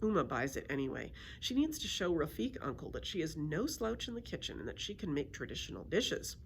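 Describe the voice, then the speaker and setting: A woman reading a children's story aloud in an expressive storytelling style. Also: low-pitched, a little fast, vocal fry, slight background noise. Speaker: library story reader.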